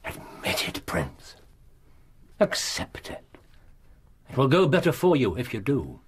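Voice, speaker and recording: An actor playing a shrewd, composed English gentleman detective in a Victorian radio drama, speaking with precise, measured authority. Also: medium pitch, huge pitch swings, slightly rough, heavily acted. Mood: coolly accusing.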